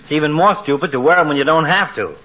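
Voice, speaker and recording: A man's voice: fairly high pitch, quick pace, sharp tone. A man playing a pompous, sardonic doctor in 1940s radio comedy, delivering florid, biting insults. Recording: dull, noisy 1940s radio recording.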